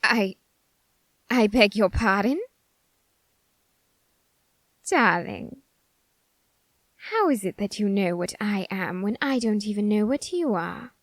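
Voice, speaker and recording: Casting: female actor as haughty, flamboyant otherworldly diva for ASMR roleplay; slow, theatrical, sarcastic drawl, very animated intonation. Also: mid-range voice, dry recording. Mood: startled. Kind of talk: scripted ASMR character roleplay monologue.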